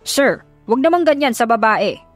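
A woman's voice: a little high, animated, normal speed, no room sound, close to an everyday voice. A commanding Japanese man in a love story audiobook, voiced by a woman. He is restrained and terse, in a dry recording with faint music behind. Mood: firm.

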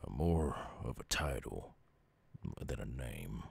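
An extremely deep, heavily gravelly werewolf with a Southern US drawl, in an ASMR audio roleplay. He is a gruff, wounded outcast, weary and guarded. His words come slowly and haltingly, in a very husky rasp. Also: big pitch swings, exaggerated voice. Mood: guarded, resigned.

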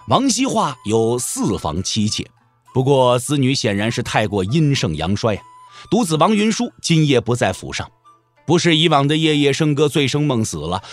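A man narrating a Chinese martial arts audio drama as an expressive storyteller, wry and colloquial in his delivery. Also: fairly high pitch, no echo.

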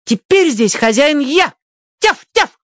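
Radio-play young fox: squeaky-high, proud, distrustful, yappy delivery. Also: very animated, slow, no echo, studio quality, full character voice. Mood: sad, then proud. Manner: punctuates speech with sharp yelps. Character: proud, suspicious young male fox, yapping and defiant.

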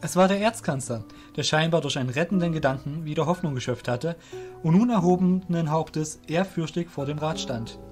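A man's voice: a little high, brisk, darkish tone, soft background music. A man giving scripted narration for a fantasy audio drama.

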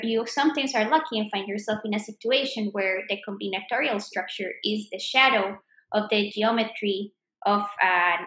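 A Colombian woman in her thirties speaks with a Colombian Spanish accent at a middling pitch. She talks carefully, restarting phrases as she thinks aloud. Her voice is picked up by a webcam in a home room that gives it a noticeable echo. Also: pushed and bright. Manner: thoughtful, self-correcting, frequent restarts. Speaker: mathematician. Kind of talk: recorded interview answers. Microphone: webcam at desk distance.

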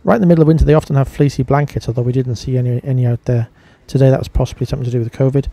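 A man's voice: mid-range voice, fairly even intonation, racing, creaky, warm tone, no room sound. A man giving a casual voice-over review as a vlogger.

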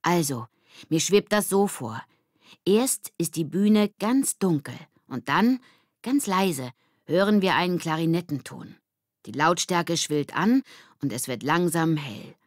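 A woman voicing an imaginative, earnest young schoolgirl in an audiobook, speaking in a dreamy, deliberate way. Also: lowish voice, lively intonation, dry recording, clean studio sound. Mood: dreamy, dramatic.

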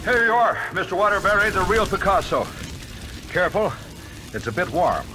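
An actor playing a resolute, righteous masked superhero with formal, declamatory delivery in a comic-book audio drama. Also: male voice, high-pitched, very animated, normal speed, poor audio. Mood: brisk. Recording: vintage vinyl record drama with music.

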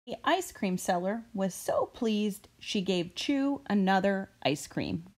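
A woman reading a children's story aloud expressively, with deliberate, drawn-out phrasing. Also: mid-range voice, expressive. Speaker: reader of children's books.

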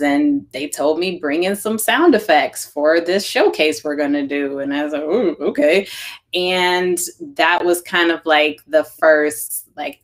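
A woman in her thirties, a sound designer and podcast producer, talking in a relaxed way on a video call panel. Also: low-pitched. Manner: relaxed, conversational, with fillers. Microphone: webcam on video call.

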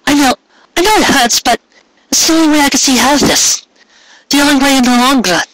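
A storyteller voicing a distressed, devoted young female pony who pleads in halting, stammering phrases. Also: sits high, a bit bright, no echo, pitched above the performer's own voice, low-quality recording. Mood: sorrowful.

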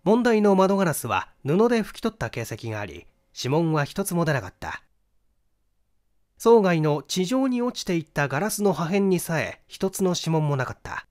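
Guy reading a mystery novel, slightly high voice, very animated pitch, dramatic storyteller delivery. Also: normal speed. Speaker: audiobook narrator, stage actor. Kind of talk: scripted mystery novel narration.

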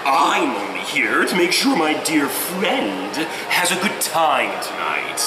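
A man's voice: a little high, very animated, slow pace. A man voicing a mischievous, theatrical chaos spirit in a teasing, mock-innocent drawl during an amateur read-through. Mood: teasing, smug. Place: indoor convention lounge seating area. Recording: echoey noisy public lounge.

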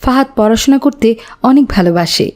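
A woman's voice: fairly high pitch, lively intonation, fast, darkish tone. A woman narrating a scripted story as its storyteller.

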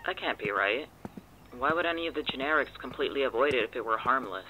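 A man's voice: medium pitch, animated, quick pace, sharp tone, slight background noise, poor audio. A man playing a blunt, sarcastic, irritable friend in an audio drama. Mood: irritated, sarcastic. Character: exasperated, sarcastic but helpful male friend. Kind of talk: naturalistic scripted audio drama dialogue.

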